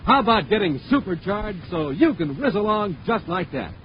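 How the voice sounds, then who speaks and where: A man announcing and selling in an excited 1950s radio style. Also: high-pitched, moderate pace, a little hiss or hum. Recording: muffled 1950s radio recording.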